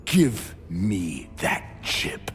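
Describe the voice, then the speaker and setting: An actor playing a stern, stubborn military captain in a video game, giving orders in a clipped, formal, commanding way. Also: male voice, deep voice, very animated, drawn-out words, full character voice. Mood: cold command. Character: stern, stubborn male military ship captain, irate at defiance. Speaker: ship captain. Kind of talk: cinematic video game acting. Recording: long reverb under music.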